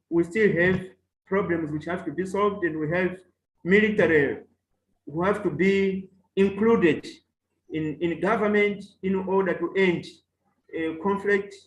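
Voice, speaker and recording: High-voiced man, echoey room. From Mozambique. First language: Chewa. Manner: measured and halting, with many fillers and restarts. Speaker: professor and panelist. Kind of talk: online academic panel talk. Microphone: video call.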